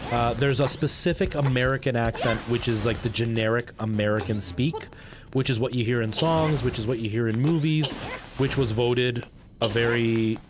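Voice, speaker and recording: A young man who grew up in Canada talks to his stream as he plays. His voice sits at an ordinary male pitch, and his manner is chatty and expressive, often repeating a phrase for emphasis. Faint music plays behind him. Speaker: game streamer. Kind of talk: casual live stream chat while gaming.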